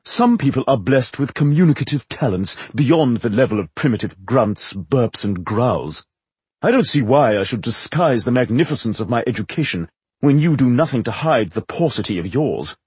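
Casting: male narrator as a grandiloquent aristocratic showman, raised pitch, highly animated, theatrical fantasy storybook flourish. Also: normal speed, husky, no echo. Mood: huffy. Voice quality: exaggeratedly aristocratic delivery. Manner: grandiloquent, wordy, showy.

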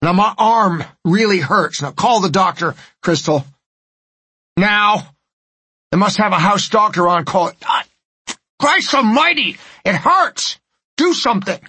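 A man voicing a frightened older man with a heart condition, pleading and shouting in pain in a gravelly, husky voice. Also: mid-range voice, big pitch swings, moderate pace, no echo, heavily acted. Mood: panicked. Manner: strained, pleading, raising his voice in pain.

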